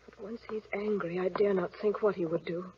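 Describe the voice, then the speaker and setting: An actress playing an innocent, anxious young bride with an earnest, melodramatic delivery in an old-time radio drama. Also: female voice, mid-range voice, fairly even intonation, fairly quick, natural voice. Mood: uneasy. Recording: noisy, muffled old radio recording.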